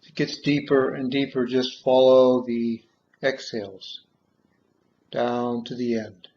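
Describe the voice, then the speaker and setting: A man guiding a meditation in measured short phrases with long pauses, on a dull, muffled recording. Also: mid-range voice, little pitch movement, darkish tone. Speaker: guided meditation leader. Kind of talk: guided meditation, slow soothing instruction.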